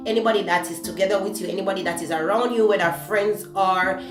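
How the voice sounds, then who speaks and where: A young woman with a Jamaican accent, whose first language is Patois, talks candidly to the camera in her home kitchen. She often pauses to search for words. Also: mid-range voice. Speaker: beauty vlogger. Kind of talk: casual talk-to-camera beauty vlog. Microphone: camera close to the face. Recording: echoey kitchen with background music.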